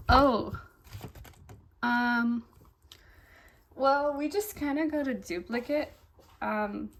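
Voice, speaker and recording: A woman's voice: fairly high pitch, noisy background. Chatty young woman, slightly congested. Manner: chatty, fillers "like" and "um", easily delighted. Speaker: toy unboxing vlogger. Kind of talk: casual unboxing chat.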